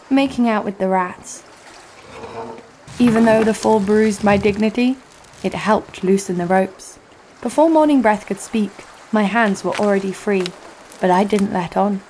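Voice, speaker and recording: A woman's voice: a little high, a little mellow, a little hiss or hum, natural voice, low-quality recording. A woman playing a cocky, foul-mouthed adventurer, narrating and speaking in an audio drama with a sardonic manner. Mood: mocking, cocky. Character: brash, sarcastic, foul-mouthed female adventurer narrating her exploits. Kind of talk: first-person audio drama narration and dialogue.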